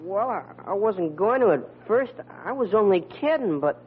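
Radio sitcom son: American boy, earnest, hesitant, very animated pitch, narrow vintage sound. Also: moderate pace. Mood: sheepish, protesting. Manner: hesitant, protesting. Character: earnest, put-upon young son. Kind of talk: 1940s radio comedy acting. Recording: thin, noisy vintage radio recording.

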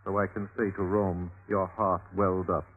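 A man's voice: deep voice, level tone, normal speed, soft background music. A man acting an ominous, coolly cynical henchman in a Renaissance radio drama, with portentous, clipped, theatrical delivery. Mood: coolly consoling. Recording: tinny, band-limited old radio recording.

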